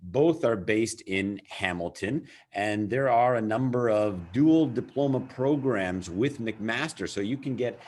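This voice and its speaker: A man's voice: fairly low pitch, a little slow, hardly any pauses. A man hosting a school webinar, enthusiastic and full of fillers. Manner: lively, enthusiastic, frequent fillers. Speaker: webinar host.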